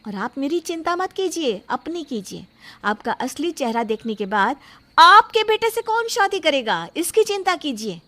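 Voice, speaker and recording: High, bright, defiant young bride-to-be played by a woman. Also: very animated, moderate pace, exaggerated voice. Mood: defiant, proud. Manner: firm, indignant, emphatic. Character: self-respecting young bride-to-be, defiant and outspoken. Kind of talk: dramatised Hindi moral story acting.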